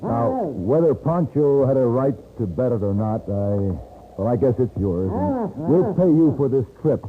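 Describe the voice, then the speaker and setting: An actor playing a level-headed, courteous western gunfighter in an old-time radio drama, measured and dryly wry. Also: male voice, medium pitch, very animated. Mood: placating, firm. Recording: noisy, narrow-band old radio recording.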